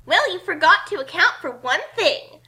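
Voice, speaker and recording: A woman's voice: very high-pitched, expressive, moderate pace, sharp tone, voice pushed up, heavily acted. A woman voicing a confident, dramatic young heroine who boasts and over-explains in a comic fan fiction reading. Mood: boastful, dramatic.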